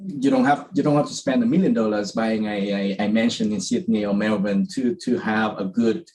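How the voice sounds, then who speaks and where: A man's voice: on the low side, steady tone, normal speed, darkish tone. A man from Vietnam, a guest in an interview, who talks casually with many fillers and restarts. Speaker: interview guest, property investor.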